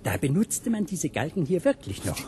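Eerie, knowing gentleman guide, swooping intonation. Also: fairly high pitch, big pitch swings, normal speed, slight background noise. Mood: darkly explaining. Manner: assured, theatrical, bookish. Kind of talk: German radio drama acting.

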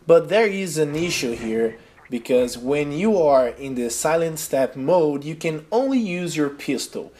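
A man's voice: a little high, lively intonation, unhurried, a little mellow. A man from Brazil with a Brazilian accent and Portuguese as his first language narrates a game guide in casual, enthusiastic, non-native phrasing. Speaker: video game guide creator. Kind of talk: casual tutorial narration over gameplay.

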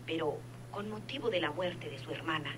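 An actress in a radio drama playing a dutiful head nurse informant, precise, businesslike and deferential, on a noisy old recording. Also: female voice, sits low, moderate pace, lightly acted. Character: dutiful female head nurse secretly informing a crime boss. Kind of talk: radionovela acting.

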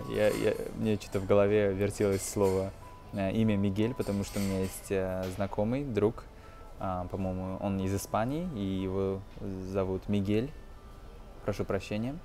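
A young man with a fairly low voice teaches English through a film. He explains casually and haltingly, dropping in English example phrases, with some music around him. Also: fairly even intonation, mellow. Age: 20s. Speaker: English teacher, video host. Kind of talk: casual lesson commentary on a film.

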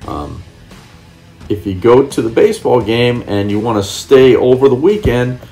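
A man's voice: mid-range voice, lively intonation, normal speed, mellow, husky, soft background music. A middle-aged man with an American accent talks casually to camera in a plain, repetitive way, explaining things as a vlogger. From California, United States. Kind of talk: casual vlog narration to camera. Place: camper van and parking lots. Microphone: phone at arm's length. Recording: noisy handheld recording with vehicle and outdoor ambience.

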